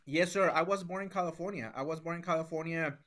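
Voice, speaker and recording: A man's voice: fairly high pitch, quick pace, a bit bright. A middle-aged man from Mexico with a Mexican Spanish accent, a guest in an interview, speaking earnestly and often repeating words as he restarts. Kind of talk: conversational interview.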